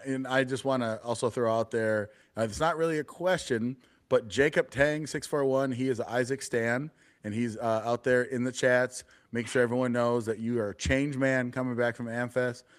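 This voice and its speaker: A man co-hosting a casual political podcast panel, talking in a relaxed, chatty way with plenty of fillers. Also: mid-range voice, normal speed. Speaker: podcast co-host, party committeeman.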